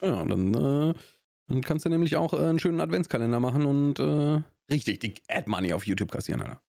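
A man's voice: fairly high pitch, animated, fast talker, vocal fry, darkish tone, no echo, clean studio sound. A young man from Augsburg with a Swabian accent, co-hosting a podcast in a loose, rambling chat full of fillers and restarts. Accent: Swabian German. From Augsburg, Swabia, Germany. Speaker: podcast co-host. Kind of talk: casual chat.

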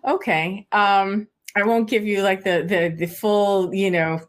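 A woman's voice: mid-range voice, steady tone, a little slow. A woman in her forties, a native Spanish speaker from Puerto Rico, talking casually as an attorney guest on a podcast, with lots of fillers and restarts. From Puerto Rico, raised in South Florida. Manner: chatty, many fillers and restarts. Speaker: estate planning attorney, guest.

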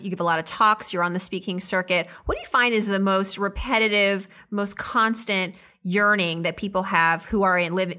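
A woman's voice: medium pitch, bright, forceful tone. A woman speaking as a podcast host, introducing and interviewing guests in an upbeat style.

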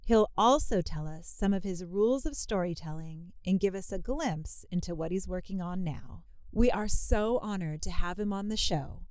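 A woman with a slightly low voice presents a scripted show introduction. The recording is very dry, with no room sound around her words. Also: moderate pace, darkish tone, smooth, no room sound.

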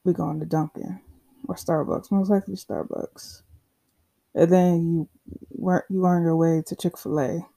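A young podcast host in their twenties, talking alone in a loose, unscripted way. The delivery is slow and unhurried, the tone mellow and dark, candid and profane with frequent asides. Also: mid-range voice, little pitch movement, vocal fry. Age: 20s. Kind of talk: casual solo monologue.

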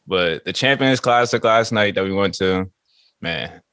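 A man hosting a podcast in casual sports chat, slangy and profane, with a voice hoarse from shouting. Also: sits low, measured pace, dry recording, studio quality. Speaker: podcast host.

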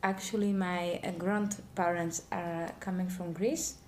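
Woman, low voice, hesitant. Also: fairly even intonation, darkish tone, slight background noise. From Poland. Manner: hesitant, many filler pauses. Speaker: guest. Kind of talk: casual interview chat.

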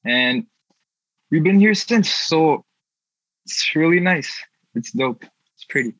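Young guy from india, chill slangy storytelling, bright voice, dry kinda dull sound. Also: on the high side, lively intonation, takes it slowly, dry recording. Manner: laid-back slangy storytelling. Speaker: youth artist guest. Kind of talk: casual chat.

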